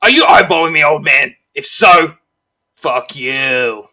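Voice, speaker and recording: A man's voice: mid-range voice, normal speed, pushed and bright, dry recording, close to an everyday voice. A man playing a crude, domineering, aggressive army sergeant in a wasteland game cutscene. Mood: furious, bullying. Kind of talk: scripted game cutscene dialogue.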